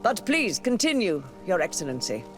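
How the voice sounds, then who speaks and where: An actor playing a stern, authoritative high church dignitary in a video game, speaking formally and imperiously. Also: male voice, wildly swooping pitch, moderate pace, music in the background. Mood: grateful, gracious. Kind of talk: scripted video game voice acting.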